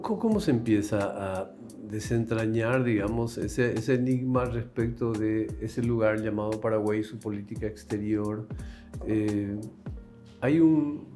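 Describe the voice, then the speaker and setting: A man's voice: mid-range voice, mellow. Hesitant man interviewer. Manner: hesitant, repeats words, many backchannels. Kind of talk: interview questions.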